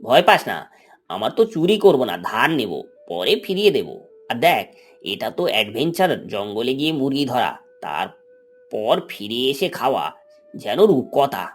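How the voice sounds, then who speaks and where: An eager, scheming village boy of ten from a cartoon fairy tale, played by a man. The voice is husky and swings widely in pitch, over faint music. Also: sing-song, unhurried. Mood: reassuring. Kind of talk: animated moral story dialogue.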